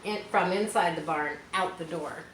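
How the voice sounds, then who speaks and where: A woman's voice: lowish voice, moderate pace, fairly bright tone, reverberant, slight background noise. A woman reads a children's story aloud expressively, adding casual asides to listeners as she narrates. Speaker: storybook reader.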